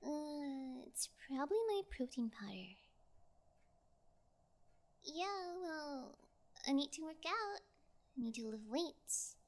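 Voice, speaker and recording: A woman's voice: sits high, sing-song, slow pace, long pauses, full character voice. A woman voicing a bored, playfully sociopathic young female kidnapper in an ASMR role play, with a lazy, teasing, falsely sweet manner. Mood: casual, musing.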